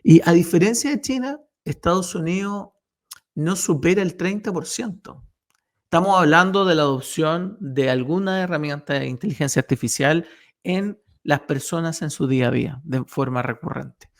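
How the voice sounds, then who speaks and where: A man's voice: a little high, moderate pace. A man hosting a radio interview who hesitates with many "eh" fillers and shifts between Spanish and Portuguese. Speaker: radio show co-host.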